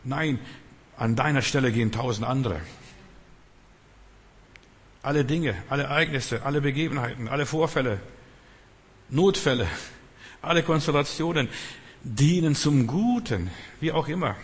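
A man's voice: mid-range voice, normal speed, pauses often, slightly rough, big-room sound. A man preaching off the cuff as a non-native speaker, emphatically repeating phrases and addressing his listeners directly. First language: not German. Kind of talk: extemporaneous sermon.

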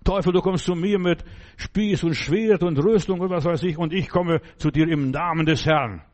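A man's voice: on the high side, normal speed. Fervent male preacher, non-native German. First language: not German. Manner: fervent, emphatic, repeats phrases. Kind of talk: sermon.

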